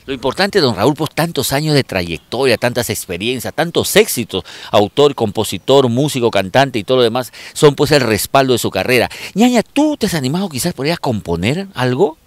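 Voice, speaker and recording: A man's voice: medium pitch, sing-song, brisk, hardly any pauses, no echo. A man from Pucallpa with a Peruvian accent, hosting a chatty entertainment interview in a familiar, teasing way full of diminutives. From Pucallpa, Peru. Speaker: interviewer, show host.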